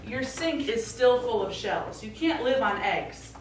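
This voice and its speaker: A woman's voice: a little high, animated, unhurried. A middle-aged woman playing a practical, concerned daughter in a live stage play, coaxing with mild exasperation. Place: small indoor theatre stage, recorded from afar. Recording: echoey theatre, distant mic, faint noise.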